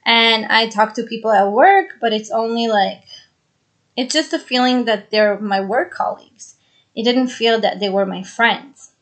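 A woman's voice: fairly high pitch, measured pace. Chatty young woman podcast host. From Brazil, raised in Israel. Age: 20s. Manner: earnest, chatty, repeats phrases for emphasis. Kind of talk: solo podcast monologue.

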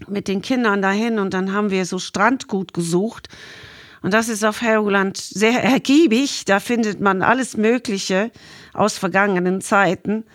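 A woman's voice: medium pitch, no room sound, clean studio sound. A middle-aged woman from Ireland telling stories conversationally as an interview guest. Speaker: interview guest, marine scientist. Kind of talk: conversational storytelling, reminiscing.

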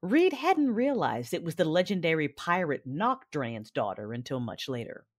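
A woman playing a cool, shrewd strategist with a dark streak, narrating in the first person in a sci-fi audio drama. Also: low-pitched, dry recording, studio quality.

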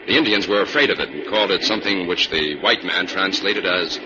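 An actor playing a calm, assured crime-fighting scientist in an old-time radio drama. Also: male voice, low-pitched, fairly quick. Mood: calm, explanatory. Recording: muffled, noisy old radio recording.

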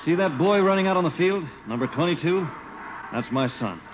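A man's voice: on the high side, animated, a little fast, close to an everyday voice. An actor playing a friendly, proud father, hearty and sincere, in an old radio comedy drama. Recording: muffled, noisy old radio recording.

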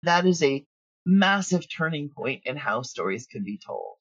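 A woman's voice: low-pitched, big pitch swings, normal speed, no room sound, highs cut off. A middle-aged woman speaking candidly and bluntly as an interview guest, talkative and sure of herself. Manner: blunt, self-assured, talkative. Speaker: guest, audio drama writer and producer.

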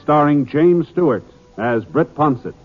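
A muffled, narrow-band old broadcast features an American man as network announcer. His voice sits a little high, and he delivers promos in a polished, scripted 1950s radio style. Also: lively intonation, unhurried, loud music behind the voice.

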